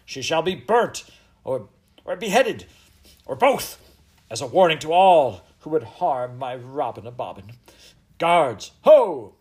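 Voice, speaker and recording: Storybook queen, played by a man: Elizabethan, hot-tempered, commanding, pitched up and forcefully loud. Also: very animated, moderate pace, natural voice. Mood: enraged, commanding. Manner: commanding, archaic royal speech. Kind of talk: storybook character voice.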